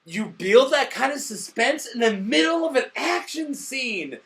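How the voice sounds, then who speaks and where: High-pitched drunk man, bright and excitable. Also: a little slow, a little hiss or hum. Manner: excitable, swears freely, bursts into shouts. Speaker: movie review co-host. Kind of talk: casual, profane movie review chat.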